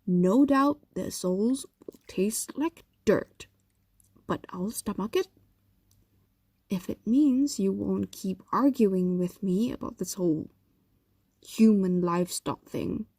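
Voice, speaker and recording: Casting: woman to play ancient yandere fox demoness, soft mellow voice, coaxing and doting yet predatory, intimate audio drama, very dry close sound. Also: mid-range voice, takes it slowly, breathy edge, dry recording. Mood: pleading, grudging. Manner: coaxing, hesitant explanations with whispers and sighs. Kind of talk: intimate audio roleplay acting, addressed to a lover.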